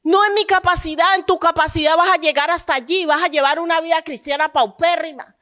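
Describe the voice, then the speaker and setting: A woman's voice: very high-pitched, fairly even intonation, brisk, a bit bright. A woman pastor giving a sermon, telling a personal testimony in a conversational way and building to fervent preaching. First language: Spanish.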